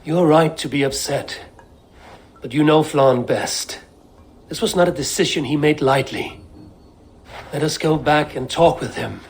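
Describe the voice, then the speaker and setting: A measured, cautious royal adviser. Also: male voice, on the high side, husky. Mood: reasoning, soothing. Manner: measured, reasoning, formal. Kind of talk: dramatic video game cutscene acting.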